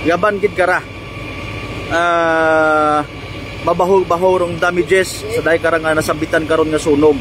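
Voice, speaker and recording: A Filipino male field reporter with a fairly high voice delivers live on-scene commentary. He improvises haltingly as he addresses viewers. The outdoor roadside recording carries clear vehicle and ambient noise. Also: little pitch movement. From Philippines. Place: outdoors on a road at a fire scene.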